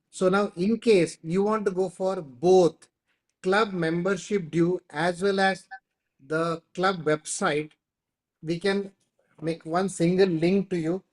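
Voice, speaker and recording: A man with an Indian accent hosting an online class, explaining and answering questions, often repeating himself. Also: sits high. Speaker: host of an online training class. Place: online video call.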